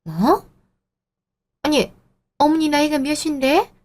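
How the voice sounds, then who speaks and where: A woman's voice: sits high, expressive, slow pace, a little mellow, pitched above the performer's own voice. A woman voicing a snobbish, condescending mother-in-law in her sixties who lectures in an audio drama. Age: 60s.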